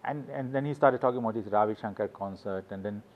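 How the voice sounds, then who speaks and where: Halting author, dull recording. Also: male voice, fairly low pitch. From Sweden. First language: Swedish. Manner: rambling, anecdotal, halting restarts. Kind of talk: casual interview talk.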